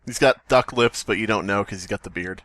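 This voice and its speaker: A man's voice: lowish voice, a little fast, a bit bright, dry recording. A man co-hosting a podcast, bantering and reading in a casual, rambling, joking way. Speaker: podcast co-host.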